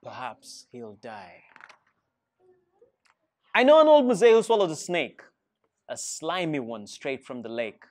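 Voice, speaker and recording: A man's voice: on the high side, very animated, takes it slowly, long pauses. A middle-aged man with a Ugandan accent reading a rhyming picture book aloud to children in a playful, encouraging way. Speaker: storyteller reading to schoolchildren. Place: indoor school reading room with seated children.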